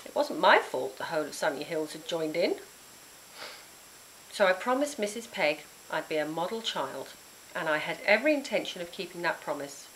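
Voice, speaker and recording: A woman reads a children's story with dramatic emphasis. Their voice is fairly low and moves up and down in a lively way, with a faint hiss on the recording. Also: normal speed, rough recording. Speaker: author reading their own book. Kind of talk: expressive children's storybook narration.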